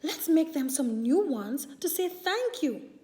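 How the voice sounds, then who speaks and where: Woman reading as a high-voiced, kindly storybook wife. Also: big pitch swings, unhurried, dark tone. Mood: grateful, eager. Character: kindly, grateful wife of a shoemaker.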